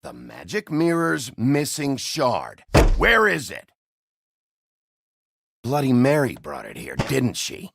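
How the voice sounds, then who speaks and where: An actor playing a gruff, world-weary sheriff in a noir video game, blunt, terse and sardonically threatening. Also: male voice, medium pitch, wildly swooping pitch, slow pace, pauses often, noisy background, full character voice. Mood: brooding, probing.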